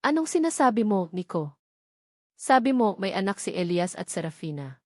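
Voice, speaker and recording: A woman's voice: mid-range voice, very animated, normal speed, darkish tone, no room sound, clean studio sound. A woman voicing a young mother in a storybook tale, wounded and suspicious, with emotional questioning. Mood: tense, accusing. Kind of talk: dramatic storybook dialogue.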